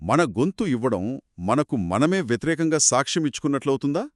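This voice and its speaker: A man speaks as the inquisitive host of a two-person explainer. His medium-pitched voice has animated intonation as he asks and sums up. The recording is dry and free of room sound. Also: fairly quick, dry recording. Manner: inquisitive, recapping points. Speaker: host asking questions. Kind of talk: scripted two-person legal explainer discussion.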